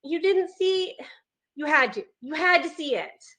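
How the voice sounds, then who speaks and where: A woman's voice: high-pitched, lively intonation, unhurried, pushed and bright, heavily acted. A woman playing a brash, volatile young psychiatric patient in a horror script table read. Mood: shaken, panicked. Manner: taunting and cocky, breaking into screaming panic. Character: Sasha, brash, mean, unhinged young female psychiatric patient.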